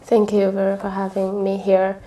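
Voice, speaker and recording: A woman's voice: mid-range voice, monotone, moderate pace, dark tone. A woman from Uganda with a Ugandan accent, giving a personal account as an interview guest. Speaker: charity liaison, interview guest. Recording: somewhat distant from the mic.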